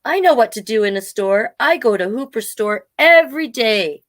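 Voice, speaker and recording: A woman reading the lines of an eager, excitable young male puppet in a children's storybook. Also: a little high, moderate pace, pitched above the performer's own voice. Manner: bright-eyed eagerness, exclaiming.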